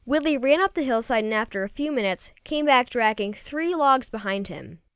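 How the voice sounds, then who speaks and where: Animated woman reading a children's story. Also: on the high side, moderate pace, smooth, no room sound, studio quality. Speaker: storybook reader.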